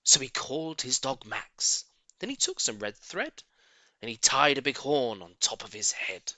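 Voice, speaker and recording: A man's voice: mid-range voice, lively intonation, unhurried, pushed and bright, no echo. A man narrating a rhyming storybook in a theatrical, playful and dramatic way.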